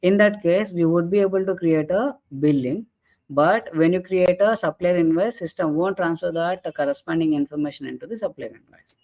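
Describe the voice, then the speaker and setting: A man presenting an explanatory software training webinar in a halting way, often checking in with short tag questions. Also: high voice. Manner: halting, repeatedly checks with "right?" and "okay". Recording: dull lo-fi webinar audio.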